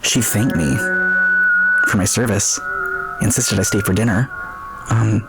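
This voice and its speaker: A man playing a traumatized, weary army private, the sole survivor, in a post-apocalyptic radio drama, with a halting, bitterly wry delivery. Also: lowish voice, fairly even intonation, creaky, loud music behind the voice, poor audio. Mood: haunted, reminiscing.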